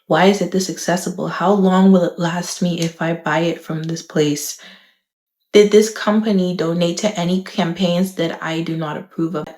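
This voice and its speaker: Low, gravelly-voiced reflective woman. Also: echoey room. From the Bahamas. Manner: thoughtful, candid, measured. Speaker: vlogger. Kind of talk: reflective talk to camera.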